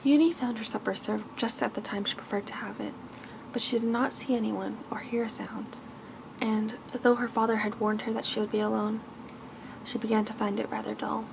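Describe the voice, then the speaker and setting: A woman's voice: a little high, little pitch movement, fairly quick, vocal fry, background noise. A woman reading a bedtime fairy tale aloud as ASMR narration. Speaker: ASMR storyteller.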